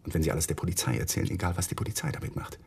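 An actor playing a guilt-ridden, stubborn young lawyer in a radio crime drama, speaking earnestly. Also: male voice, low-pitched, flat delivery, very fast, vocal fry, a little mellow, breathy edge, exaggerated voice. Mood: anguished, confessional.

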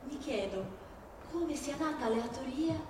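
A woman giving a dramatic stage reading of literary texts, with a measured, declamatory delivery and deliberate pauses. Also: high-pitched, expressive, noisy background. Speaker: stage performer. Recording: very echoey hall from far off, music behind. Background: live music.

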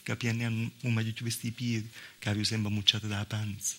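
An actor playing a wry, plaintive Calabrian village mother in a theatrical monologue, with a southern Italian accent and a halting, confiding, folksy delivery. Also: lowish voice, little pitch movement, a little hiss or hum, natural voice. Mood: plaintive, resigned. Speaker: actor in a solo stage monologue. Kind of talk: theatrical storytelling monologue in dialect-inflected Italian. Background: live musical accompaniment.

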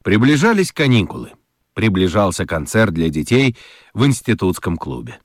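A man narrating a children's radio story in an expressive, scripted storytelling style. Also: sits low, moderate pace, no echo, clean studio sound.

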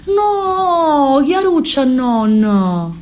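Woman putting on old nonna, high wailing voice, fussing and warning, comedy monologue. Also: sing-song, extremely slow, darkish tone, heavily acted. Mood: plaintive warning. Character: old grandmother from childhood, plaintive, warning in dialect.

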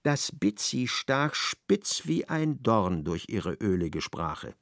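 Male radio-play narrator, measured and ironic, gravelly voice, dry studio sound. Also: lowish voice, sing-song, fairly bright tone, dry recording.

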